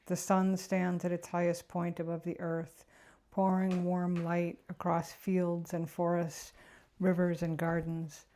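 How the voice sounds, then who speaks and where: Woman, meditation guide, very mellow warm tone, even intonation, gentle, unhurried, reflective. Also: on the low side.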